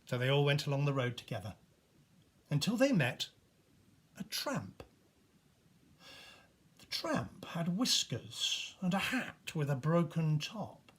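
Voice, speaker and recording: A man reading a children's storybook aloud in an animated, expressive way with dramatic pauses. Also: fairly high pitch, slightly rough. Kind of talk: animated scripted storybook narration.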